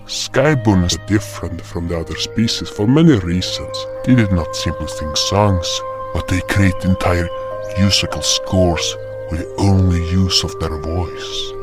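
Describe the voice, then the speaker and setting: A man playing a gentle, proud, hulking beast guardian who tells his own story deliberately in a fantasy audio drama. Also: low-pitched, over loud music. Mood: reflective, measured. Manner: slow, deliberate storytelling with hesitations. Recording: noticeable background noise.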